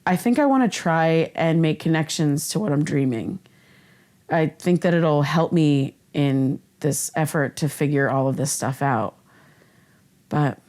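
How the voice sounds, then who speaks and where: A woman's voice: deep voice, a little mellow. An actress playing an earnest, vulnerable young woman who confides in podcast listeners in a casual, hesitant, candid way. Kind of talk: scripted podcast narration, confessional.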